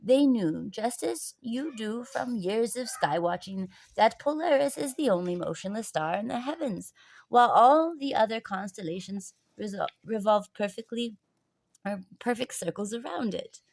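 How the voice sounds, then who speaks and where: A woman voicing a jovial grandfather in a children's storybook, earnestly sharing secrets with his grandson in a deliberate, explanatory way. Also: fairly high pitch, expressive, vocal fry, a little mellow, pitched above the performer's own voice. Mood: earnest, grave.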